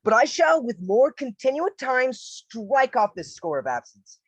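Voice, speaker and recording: A woman playing a courteous but evasive young male officer in a Shakespearean radio play, with a husky voice. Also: high-pitched, big pitch swings, unhurried, dry recording, exaggerated voice. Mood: placating.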